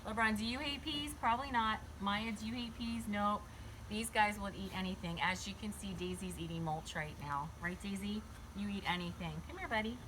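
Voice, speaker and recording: Clearly noisy recording of a woman with a mid-pitched voice. She reads a picture book to young children, stopping to talk to them directly, ask questions and explain things simply. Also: moderate pace. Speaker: children's read-aloud storyteller.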